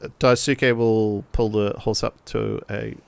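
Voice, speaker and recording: Unhurried, hesitant man playing a tabletop game. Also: lowish voice, flat delivery, gravelly, smooth. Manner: hesitant, piecing sentences together. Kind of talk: casual in-game narration and chat.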